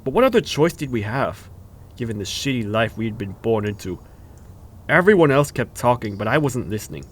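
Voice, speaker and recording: An actor playing a troubled, hardened young street robber who narrates an animated crime drama in a brooding, dramatic way. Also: male voice, medium pitch, brisk, breathy edge. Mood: bitter, brooding. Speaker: narrator and main character. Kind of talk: scripted first-person narration.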